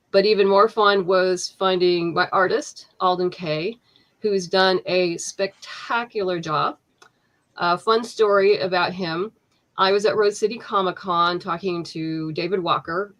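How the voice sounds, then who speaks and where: A woman with a slightly low voice talks about her comic in a loose, chatty way, full of ums and restarts. She sounds like a guest on an online interview, speaking over a video call. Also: fairly bright tone. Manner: chatty, many fillers and restarts, laughs easily. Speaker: comic book writer, guest. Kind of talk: casual interview chat.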